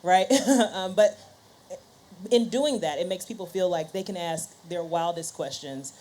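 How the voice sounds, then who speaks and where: A woman's voice: fairly low pitch, unhurried, a little mellow. A woman podcast host speaking conversationally on a panel, voicing little quotes as she explains.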